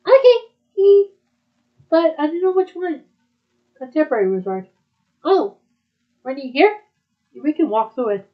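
A woman's voice: high voice, big pitch swings, measured pace, pauses often. A woman talking in a casual back-and-forth conversation. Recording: dull, muffled lo-fi audio.

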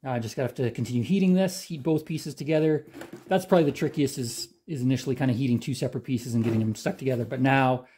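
A middle-aged man from Canada, hosting a do-it-yourself channel, explaining a hands-on repair in a relaxed, plain-spoken way while he works in a garage workshop. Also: medium pitch, fast, a little hiss or hum. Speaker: DIY channel host. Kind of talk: hands-on how-to demonstration.

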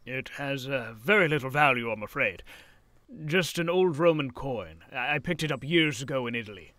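An actor playing a kindly, whimsical old professor with a theatrical, musing manner in period drama style. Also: male voice, medium pitch, animated, moderate pace, sharp tone, dry recording. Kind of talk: period radio-drama acting.

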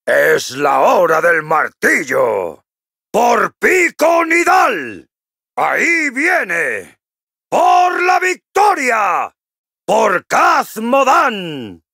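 Gravelly, blustering dwarf warrior from Spain. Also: male voice, fairly high pitch, huge pitch swings, extremely slow, sharp tone, dry recording, studio quality, heavily acted. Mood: rousing battle cries. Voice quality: gruff, raspy. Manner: blustering, hearty, exclamatory. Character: boisterous male dwarf gryphon-riding hammer warrior, cocky and jovial. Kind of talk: video game character voice lines.

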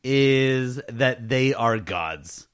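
A man chats casually as a podcast co-host, with a bright voice that moves around a lot in pitch. His talk is slangy and jokey, at an ordinary male pitch. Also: lively intonation, drawn-out words, smooth. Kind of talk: casual joking chat.

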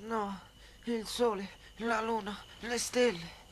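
A performer playing a kind, innocent adolescent boy with an earnest, reassuring manner in an animated Bible story. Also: medium pitch, takes it slowly, heavy background noise, close to an everyday voice. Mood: dreamy, shaken. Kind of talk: dubbed Italian animated cartoon acting.

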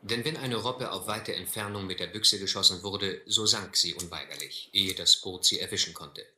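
An actor playing a reflective, educated seaman who narrates his voyage in a measured, literate way in a radio play. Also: low voice, pushed and bright. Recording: echoey old recording with faint noise.

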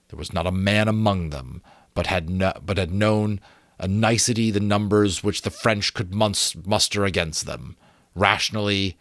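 A middle-aged man reading a novel aloud as expressive, deliberate dramatic narration, close to a desk microphone. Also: fairly low pitch, no room sound. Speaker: amateur audiobook reader. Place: small indoor room with bookshelves.

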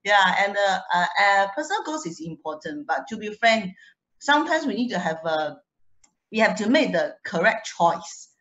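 A woman's voice: medium pitch, big pitch swings, moderate pace, fairly bright tone, highs cut off. Chatty young woman with a non-Singaporean accent. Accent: foreign, not Singaporean. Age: young adult. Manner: chatty, laughs often, restarts words. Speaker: guest speaker. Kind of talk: personal testimonial.

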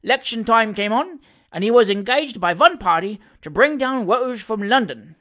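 Breezy Cockney servant for a storybook, played by a man, cheeky and emphatic, with lively swings in pitch. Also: normal speed. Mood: chatty storytelling. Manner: emphatic, colloquial.

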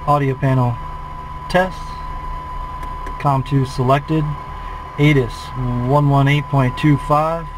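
A man's voice: medium pitch, steady tone, slow pace, pauses often, background noise. A flight instructor in his forties, calling out a preflight checklist in a methodical, clipped way from a small plane cockpit on a headset.

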